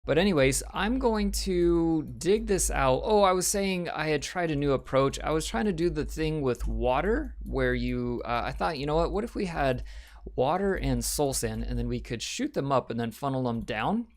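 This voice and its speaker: A man hosting a casual video game let's-play, chatty and rambling, his voice a little raspy and off today. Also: on the high side, animated, moderate pace. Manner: chatty and rambling, with frequent ums and uhs. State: slightly raspy, voice a bit off. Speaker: gaming channel host.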